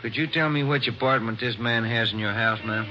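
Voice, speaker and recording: An actor playing a tired, patient police partner who speaks in a dry, matter-of-fact way, in an old radio drama. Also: male voice, medium pitch, brisk, sharp tone, close to an everyday voice. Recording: dull old noisy radio recording.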